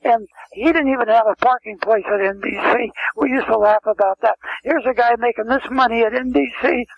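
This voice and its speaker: A man's voice: sits high, gravelly, breathy edge. An older man telling rambling anecdotes as an interview guest, often restarting and wandering into asides. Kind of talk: casual storytelling interview. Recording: muffled lo-fi phone-quality sound.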